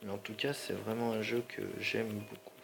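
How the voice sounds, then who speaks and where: A man's voice: on the low side, flat delivery, moderate pace, creaky, background noise. A man with a slightly sore throat and a stuffy nose, rambling in slangy, apologetic commentary over his gameplay. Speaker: gaming channel host.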